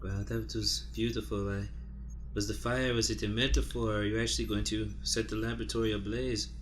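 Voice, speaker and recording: A man playing a melodramatic woman, a cook and artist nursing heartbreak, speaking earnestly and haltingly in an improvised comic radio play. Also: lowish voice, level tone, slight background noise, lightly acted.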